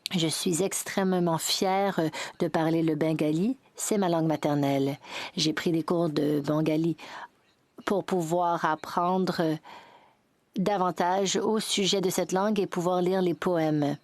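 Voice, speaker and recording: A woman with a fairly low voice speaks in the measured cadence of a formal parliamentary address, now and then restarting a word. The sound is dry and close, with no room echo. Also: fairly even intonation, a little mellow.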